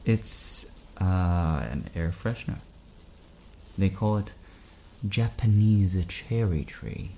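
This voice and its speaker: A man's voice: low voice, slow pace, warm tone, a little hiss or hum. An actor playing a weary, affable man driving at night in an audio drama, conversational, hesitant and wry. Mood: wry, friendly. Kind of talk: audio drama acting, narration and dialogue.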